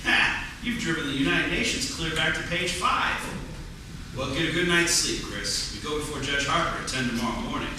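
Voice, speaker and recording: A man's voice: a little high, expressive, normal speed, natural voice. A man playing an earnest, determined lawyer in a stage radio play, speaking emphatically. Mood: excited. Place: stage of a community theatre. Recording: echoey theatre from far off, noisy.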